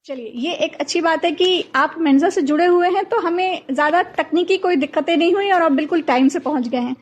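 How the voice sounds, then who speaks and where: A woman's voice: very high-pitched, fairly quick. A woman hosting a live programme in a casual, conversational way, talking with listeners and a guest. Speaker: host of a writers' interview programme.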